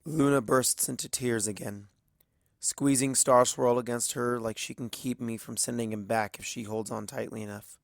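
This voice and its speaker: A man's voice: medium pitch, fairly even intonation, moderate pace, vocal fry, dry recording. A male narrator reads a piece of fiction in a mid-range voice. The sound is dry and close, with the delivery of scripted storytelling.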